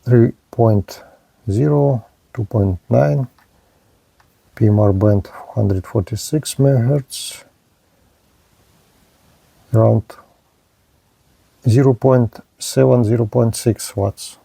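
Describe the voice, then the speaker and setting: A man's voice: medium pitch, slow, gravelly, pauses often, warm tone, husky, no echo. A man narrating a hands-on radio equipment test as a reviewer, speaking in short clipped phrases. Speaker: product reviewer.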